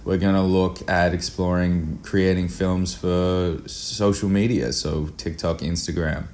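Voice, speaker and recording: Very deep-voiced man chatting casually. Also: level tone, unhurried, a little mellow. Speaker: interview guest, filmmaker. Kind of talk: casual chat. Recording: faint background noise.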